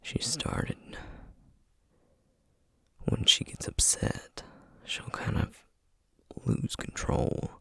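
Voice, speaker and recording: A man playing a gentle, burnt-out sorcerer dad with a Southern American accent in a whispered ASMR roleplay, halting and full of self-doubt. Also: no echo, clean studio sound. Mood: exhausted, troubled. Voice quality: hushed, breathy whisper. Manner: halting, confiding, with sighs and trailing pauses.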